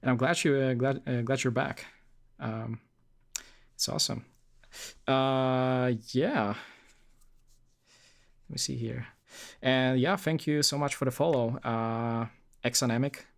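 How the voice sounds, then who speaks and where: A man's voice: mid-range voice, fairly even intonation, slow pace, creaky, pauses often. Young male streamer from Poland, easygoing rambling chat, full of fillers, mid-range voice. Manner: rambling, many fillers and restarts. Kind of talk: casual live-stream chat.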